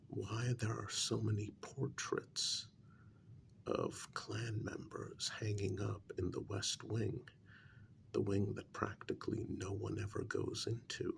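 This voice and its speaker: A man playing a calm, blunt human familiar to a vampire queen in a soft, half-whispered ASMR role-play, speaking formally and deliberately. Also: lowish voice, creaky, a little hiss or hum, heavily acted. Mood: calmly probing.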